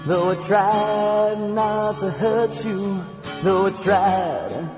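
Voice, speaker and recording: A woman singing a slow ballad. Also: mid-range voice. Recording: dull, echoey song recording with guitar.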